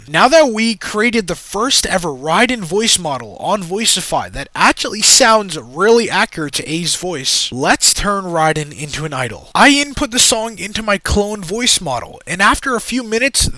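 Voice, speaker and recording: A man's voice: sits high, bright, forceful tone, no room sound. A man narrating a scripted video as its creator, in a jokey, exaggerated storytelling way over background music. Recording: music bed under the voice.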